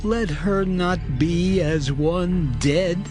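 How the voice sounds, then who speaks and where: An actor playing a remorseful priest in a dramatized scripture recording, humbly beseeching. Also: male voice, high voice, very slow. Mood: remorseful begging. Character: Aaron, remorseful, begging priestly brother. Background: music.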